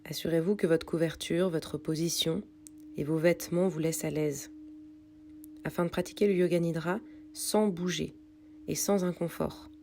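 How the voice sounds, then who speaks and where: A woman leads a scripted relaxation practice. Her voice sits on the low side and is soft-edged and very mellow. She gives calm, unhurried instructions, with a faint hum and noise behind her. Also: gravelly. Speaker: yoga nidra meditation guide. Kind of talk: guided meditation read from a script.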